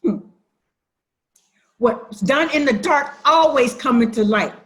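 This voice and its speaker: A woman's voice: sits high, animated, takes it slowly, a bit bright, full character voice. A woman acting a hurt, defiant, sassy sister who makes emphatic accusations in a dramatic play reading. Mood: accusing, hurt. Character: wounded, defiant younger-minded sister confronting her sibling.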